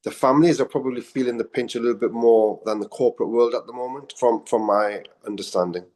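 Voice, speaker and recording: A man in a radio studio with a husky voice today, speaking in a measured, thoughtful way and hedging his points. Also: medium pitch, darkish tone. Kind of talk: casual radio chat.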